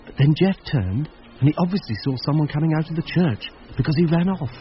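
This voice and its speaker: An actor playing a schoolmaster who tells a mystery story in a radio drama, curious and uneasy. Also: male voice, on the high side, animated, fast, close to an everyday voice. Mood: reflective. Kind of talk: radio drama narration and dialogue. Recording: slightly dull radio recording.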